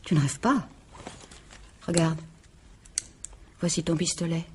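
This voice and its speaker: A woman's voice: deep voice, slow, a little mellow, a little hiss or hum. An actress playing a bitter, jealous woman bent on revenge, theatrical and sardonic, in 1950s French radio drama style. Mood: cold, menacing. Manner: theatrical, sardonic, menacing monologue. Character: bitter, jealous, vengeful scorned woman turned cold killer.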